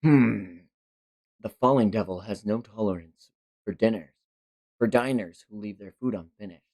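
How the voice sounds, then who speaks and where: A man voicing an ominous, formal manga devil who speaks in a measured way. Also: on the low side, darkish tone, pitched below the performer's own voice.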